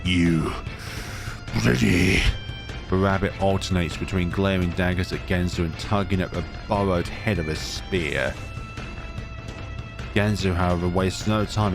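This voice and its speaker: A man reading a visual novel aloud as dramatic narration, expressive and reacting as he goes. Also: low voice. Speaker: let's player reading a game aloud. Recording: music behind the voice. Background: game music.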